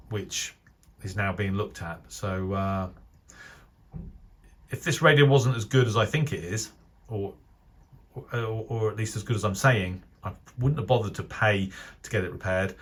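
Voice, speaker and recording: Low-pitched British man reviewing gear. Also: fairly even intonation, unhurried. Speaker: radio enthusiast reviewer. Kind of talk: casual unscripted review commentary. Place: voice-over over still images.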